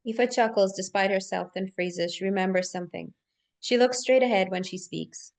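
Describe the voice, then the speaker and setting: A woman's voice: mid-range voice, moderate pace. A woman narrating screenplay directions in a live table read, occasionally stumbling and repeating words as she reads. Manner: stumbles and repeats words while reading aloud.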